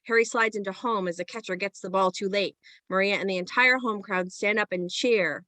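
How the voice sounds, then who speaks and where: A woman's voice: mid-range voice, a little fast, pushed and bright, no echo. A woman reading screenplay stage directions as scripted present-tense narration.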